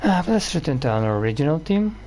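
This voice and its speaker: A man's voice: a little high, big pitch swings, fast talker, darkish tone, soft background music. A man giving casual game commentary as a streamer, blunt, profane and grumbling. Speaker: gaming streamer.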